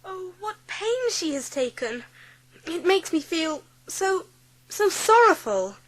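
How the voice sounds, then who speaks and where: Little schoolgirl, very high voice, gentle, earnest, kind-hearted, dramatised audiobook. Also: very animated, takes it slowly, exaggerated voice, poor audio. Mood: moved, affectionate. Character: kind, well-bred schoolgirl of about ten, warm-hearted.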